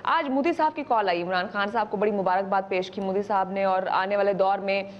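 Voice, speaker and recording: A woman's voice: mid-range voice, brisk, lo-fi sound. A Pakistani woman hosting a political discussion show, putting questions to her guests in a Pakistani accent. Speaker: talk show host.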